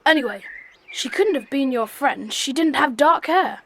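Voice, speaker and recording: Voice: high-pitched, expressive, moderate pace, vocal fry, fairly bright tone, slight background noise, full character voice. A performer playing a helpful, plain-spoken young British stable boy in an audio drama, straightforward in manner. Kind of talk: children's audio drama acting.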